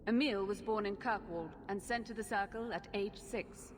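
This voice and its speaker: A woman plays a tranquil mage who serves as an efficient assistant. Her medium-pitched voice is detached, exact and formal, without feeling. It echoes in a long reverb over clearly audible fantasy game music. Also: moderate pace, lightly acted. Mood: detached, matter-of-fact. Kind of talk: dramatic video game acting.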